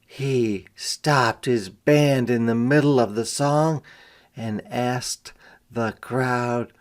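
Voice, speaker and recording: A man reading a picture book aloud to young children as a teacher, with expressive, deliberate delivery and simple explanations. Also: mid-range voice. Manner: dramatic, deliberate delivery with emphasized character lines.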